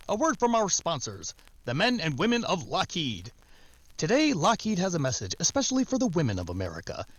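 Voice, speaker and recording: Vintage radio announcer male actor: high voice, lively melody, earnest sponsor-pitch delivery, light music bed. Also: heavily acted, rough recording.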